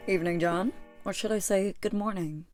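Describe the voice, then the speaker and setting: A woman's voice: mid-range voice, normal speed, over music, natural voice. A woman acting a poised, cunning, seductive adventuress in an audio play, speaking in an insinuating way. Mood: teasing, confiding.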